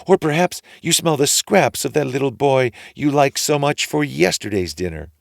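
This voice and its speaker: A great big tall storybook wife, put on by a man, brusque but motherly. The voice is pushed high and loud, swooping as she scolds and fusses, with a dry close sound. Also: expressive, normal speed, creaky, no echo. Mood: soothing, fussing. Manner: high-pitched, exclaiming, scolding and fussing.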